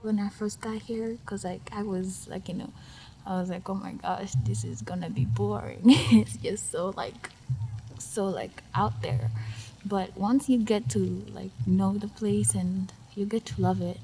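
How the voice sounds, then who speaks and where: A woman's voice: mid-range voice, measured pace, warm tone, slight background noise, poor audio. A young woman from Africa giving a casual interview, hesitant and full of fillers, often trailing off to a near-whisper. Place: outdoor festival.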